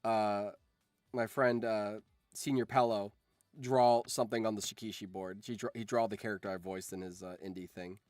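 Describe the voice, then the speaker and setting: A man's voice: fairly low pitch, steady tone, moderate pace, darkish tone, no echo. Man rambling casually, close dry sound. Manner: sweary, informal, enthusiastic fan talk. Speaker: streamer and voice actor. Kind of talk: casual livestream chat, rambling anecdotes.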